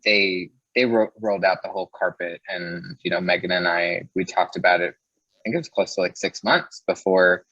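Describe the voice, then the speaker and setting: A man with a low voice tells stories as an interview guest. He is casual and chatty, dropping "like" into his sentences. The sound is dry and a little dull and muffled. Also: normal speed, fairly bright tone, no echo. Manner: chatty, peppers speech with "like". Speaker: interview guest, mortgage loan originator. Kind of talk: casual conversational storytelling.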